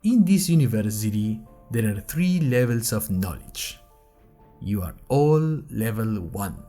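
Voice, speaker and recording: A man's voice: on the low side, lively intonation, unhurried, mellow, faint music behind. A man playing a grandiose university director, giving a theatrical, solemn welcome speech in an audio drama. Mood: solemn, imposing. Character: grandiose university director addressing new students.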